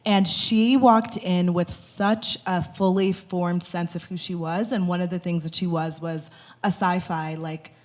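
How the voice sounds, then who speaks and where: A woman on a live panel, speaking in a relaxed, conversational way with frequent "like" and "you know". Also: lowish voice, unhurried. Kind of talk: panel discussion.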